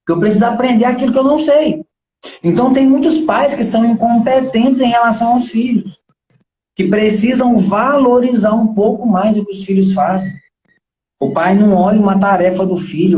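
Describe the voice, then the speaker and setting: A man's voice: sits high, mellow, reverberant, poor audio. A man in his thirties with a Brazilian accent, giving an informal motivational talk in an earnest, preachy and colloquial way, often posing rhetorical questions.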